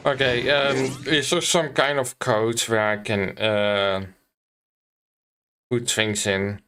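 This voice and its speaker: A man chatting casually on a game livestream in a halting, hesitant manner. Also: medium pitch, fairly even intonation, sharp tone, slight background noise. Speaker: game streamer. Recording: livestream voice over game music.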